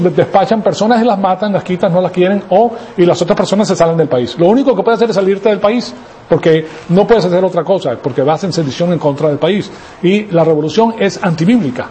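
Male preacher raised in Venezuela, emphatic and questioning, mellow tone over faint noise. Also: high voice. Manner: emphatic, rhetorical questions, frequent "¿ok?" tags. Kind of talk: sermon teaching.

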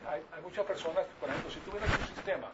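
Older Venezuelan preacher, muffled and distant. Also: male voice, a little high, fast talker. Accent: Venezuelan Spanish. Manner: didactic, repetitive, with rhetorical check-in questions. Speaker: preacher teaching a congregation. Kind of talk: informal Bible-teaching sermon. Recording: distant mic, muffled, clearly noisy.

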